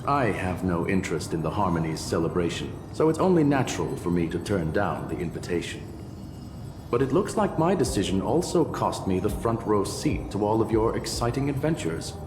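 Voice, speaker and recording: A calm, deep-voiced robot scholar. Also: male voice. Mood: detached. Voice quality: measured, formal, analytical. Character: aloof male robot genius scholar. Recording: roomy reverb with game music.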